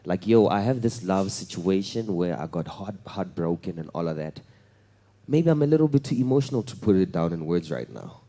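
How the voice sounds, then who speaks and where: A man's voice: low voice, expressive, brisk, warm tone. A man, a musician on a masterclass panel, talking casually with playful slang. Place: recording studio.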